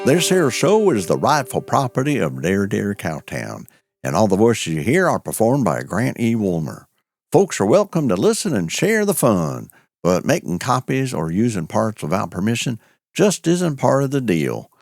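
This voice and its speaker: A man's voice: lowish voice, wildly swooping pitch, moderate pace, creaky, a little mellow, dry recording, clean studio sound. A man hosting and narrating a cowboy audio show in a folksy, homespun way.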